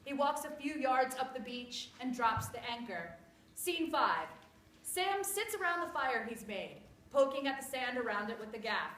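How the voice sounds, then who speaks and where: A woman reading scripted story narration aloud in an expressive, theatrical way. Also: high-pitched, moderate pace. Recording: echoey room with clear background noise.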